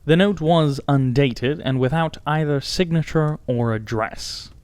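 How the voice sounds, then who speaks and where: A man's voice: a little high, a little slow, a little mellow, clean tone, dry recording. A man narrating a scripted first-person story as an audiobook narrator.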